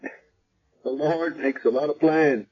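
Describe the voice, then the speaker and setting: An actor playing a calm, probing hospital chaplain with a measured, matter-of-fact manner in radio drama style. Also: male voice, fairly high pitch, husky. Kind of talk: 1970s radio drama acting. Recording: dull vintage radio recording.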